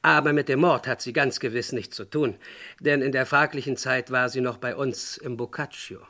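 Guarded French gentleman for radio crime drama, medium register, courteous, formal and precise. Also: mid-range voice, fairly even intonation, moderate pace. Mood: polite, guarded.

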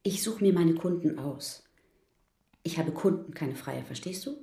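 Confident escort, low dark voice, roomy sound. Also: female voice, expressive, unhurried, creaky, heavily acted. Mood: defiant. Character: self-assured female escort, cool and seductive. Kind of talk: radio drama acting. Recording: roomy studio reverb.

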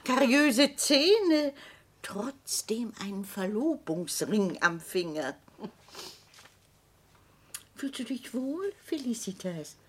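A woman's voice: a little high, very animated, slow, long pauses, slightly rough, exaggerated voice. An actress playing an imperious, man-hating old consul's widow in a radio play, with a haughty, grandiose delivery. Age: older.

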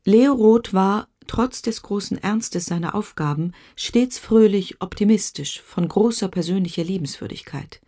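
An actress playing a woman witness who recalls a comrade with deliberate phrasing in a radio play. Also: medium pitch, mellow. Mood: fond, reflective.

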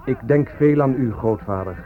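A man's voice: mid-range voice, normal speed, natural voice. Man acting a defiant young student, phone-like radio sound. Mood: guarded, defiant. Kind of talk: Dutch radio drama acting, declaimed monologue and dialogue. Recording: phone-like old radio play, music behind.

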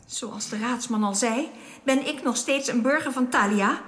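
A woman as a high-voiced, earnest alien guide. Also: moderate pace, fairly bright tone, close to an everyday voice. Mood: earnest, composed. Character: composed, loyal alien woman, guide and archaeologist. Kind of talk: radio drama acting.

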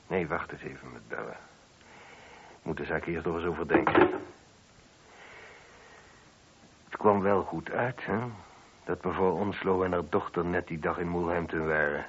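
An actor playing a probing, wry police inspector who muses and questions with dry irony in a radio play. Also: male voice, low-pitched, moderate pace, background noise. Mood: musing. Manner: musing, questioning, dryly ironic. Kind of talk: Dutch radio drama dialogue. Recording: muffled lo-fi old radio recording.

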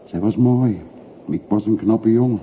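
A dull, faintly noisy old radio play recording carries an actor as a middle-aged man who once emigrated. His voice is on the low side. He is reticent and reflective, weighing his words in a measured way. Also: lightly acted. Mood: wistful. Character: wealthy-born middle-aged emigrant returning home, reserved, reflective. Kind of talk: radio drama acting.

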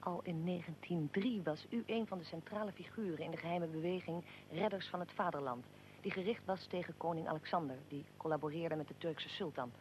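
A performer as a probing interviewer and narrator in a historical radio drama. The delivery is formal, articulate and measured, like scripted documentary narration. A faint rumble runs under the recording. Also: lightly acted, rough recording. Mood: probing, factual. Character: probing, well-prepared interviewer addressing historical figures.

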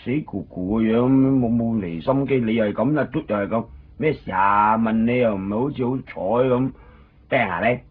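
An actor playing an excitable, anxious young man in a 1990s Cantonese radio drama, speaking colloquial Hong Kong Cantonese as he lives and narrates his story. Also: medium pitch, moderate pace, breathy edge, lightly acted. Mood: nosy, casual. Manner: colloquial, expressive. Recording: dull 1990s radio recording, loud music behind. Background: music.

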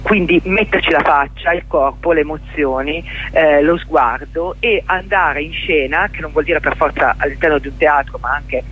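A middle-aged woman being interviewed on the radio, explaining her work in a halting, reflective way and repeating words for emphasis. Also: sits low, husky, narrow, tinny audio. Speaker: interview guest, theatre journalist and performer.

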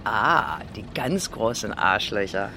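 A woman's voice: extremely low pitch, expressive, slow pace, background noise, exaggerated voice. An actress playing a brash, teasing woman getaway driver in a radio play, wry, mouthy and colloquial. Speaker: actress in an audio drama. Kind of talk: naturalistic radio play dialogue.

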